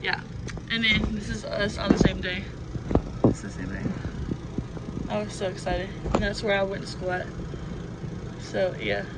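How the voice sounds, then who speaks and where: A woman's voice: mid-range voice, a little slow, pauses often. A young adult woman giving a casual, unscripted home tour inside a camper in a rambling, offhand manner. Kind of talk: casual, unscripted show-and-tell. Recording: noisy handheld recording, steady background noise.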